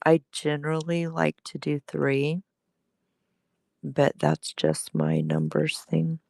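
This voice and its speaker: Slow, gravelly-voiced woman crafter. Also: sits low, darkish tone, no room sound. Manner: chatty, greets viewers while demonstrating. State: recovering from illness, gravelly throat. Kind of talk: casual live crafting stream. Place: home crafting space.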